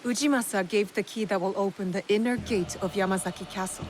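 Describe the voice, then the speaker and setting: A woman's voice: mid-range voice, quick pace, a little mellow, a little hiss or hum, natural voice. An actress playing a determined young female shinobi in a video game, speaking in a terse, direct and serious manner. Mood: resolute. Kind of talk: video game cutscene dialogue.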